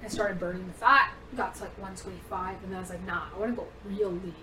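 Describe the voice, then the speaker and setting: A young woman comedian telling stories in a casual chat, animated and prone to tangents, acting out what people said. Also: mid-range voice, quick pace, recorded from a distance. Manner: animated, acts out quotes, goes off on tangents. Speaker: comedian and actress guest. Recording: roomy echo, off-mic, faint noise.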